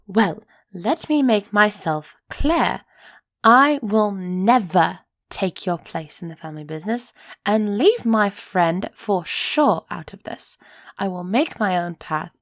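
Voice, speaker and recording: A woman as a soft, slow-spoken young elf heiress. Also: medium pitch, expressive, no echo, clean studio sound. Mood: defiant, angry. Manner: soft, sighing, often dropping into whispers. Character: young elf runaway heiress, defiant, anxious, affectionate. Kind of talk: roleplay ASMR audio drama, close and breathy.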